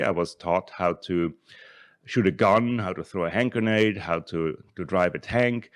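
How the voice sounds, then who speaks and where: Deep-voiced older man lecturing. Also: no echo, studio quality. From Switzerland. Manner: thoughtful, halting, repeats and restarts words. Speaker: conference speaker, scholar. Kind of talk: academic conference talk with slides.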